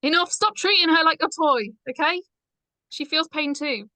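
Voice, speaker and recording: A woman's voice: high-pitched, normal speed, bright, forceful tone, no echo. A woman playing an earnest, protective female passenger who pleads and insists, in a script read. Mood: firm, indignant. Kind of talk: screenplay table read acting.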